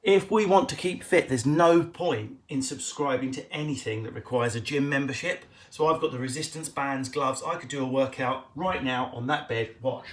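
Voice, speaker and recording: Cheeky fast-talking Essex man. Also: medium pitch. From England. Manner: quick, cheeky, jumps between loud bursts and muttered asides. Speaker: comedian hosting a live Q&A stream. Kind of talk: casual improvised stand-up chat to camera. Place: at home, indoors.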